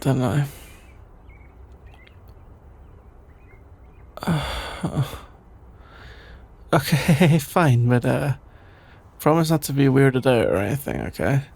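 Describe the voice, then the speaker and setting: Man acting a creaky-voiced, flustered shy best friend. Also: medium pitch, a little mellow, exaggerated voice. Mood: reluctant, nervous. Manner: hesitant, halting, trailing off with sighs and nervous chuckles. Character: shy, flustered young male best friend, sleepy and embarrassed. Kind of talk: intimate ASMR audio roleplay, improvised-sounding dialogue.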